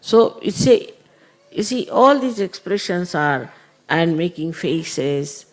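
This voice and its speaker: A middle-aged man gives an unhurried spiritual talk to followers, explaining with many "uh" pauses. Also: high-pitched, animated. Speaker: spiritual teacher.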